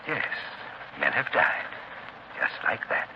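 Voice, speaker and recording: An actor playing a genial but sinister prison guard obsessed with death, coaxing and grandiose, in 1930s radio thriller style on a scratchy old recording. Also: male voice, on the low side, very animated, takes it slowly. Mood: gleeful menace. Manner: unctuous, coaxing, with stammered uhs and grandiose turns. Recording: scratchy, muffled 1930s radio broadcast, heavy noise.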